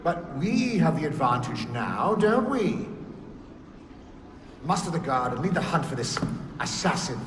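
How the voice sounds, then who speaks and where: A contemptuous, scheming conspirator. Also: male voice, fairly high pitch, sing-song, a little slow, pauses often. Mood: commanding. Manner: commanding, contemptuous. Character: scheming, arrogant male conspirator. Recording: distant, echoey hall with noise.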